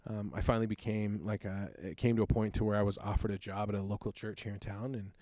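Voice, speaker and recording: A man in his thirties, a youth pastor, shares his personal testimony in an earnest, reflective, halting way. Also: on the low side, steady tone, dark tone, no room sound, clean studio sound. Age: 30s.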